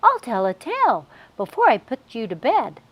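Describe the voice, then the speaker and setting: A woman voicing a chickapig mother in a storybook, gently reassuring her young son at bedtime. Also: a little high, wildly swooping pitch, moderate pace, slightly rough, exaggerated voice. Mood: tender.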